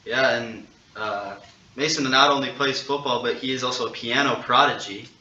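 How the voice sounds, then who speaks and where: A young man in his late teens co-hosting a podcast in casual chat. His voice is very bright and sharp, picked up from a distance in an echoey space with some noise behind it. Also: mid-range voice, moderate pace, highs cut off. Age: teenage high school senior. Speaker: podcast co-host.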